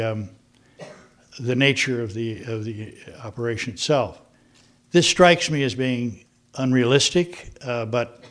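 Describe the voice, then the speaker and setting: An older man, a former diplomat, giving an unscripted talk on foreign policy, halting with many fillers and restarts. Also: medium pitch.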